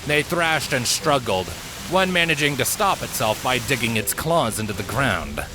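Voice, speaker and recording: A man reading fiction aloud as a dramatic story narrator, with theatrical, deliberate emphasis. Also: medium pitch, animated, low-quality recording. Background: background music.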